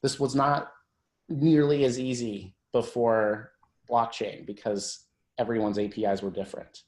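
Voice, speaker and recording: A man's voice: medium pitch, steady tone, unhurried, a little mellow. Man presenting on an echoey call. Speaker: tech company executive presenting. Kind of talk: informal conference-call presentation. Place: conference call.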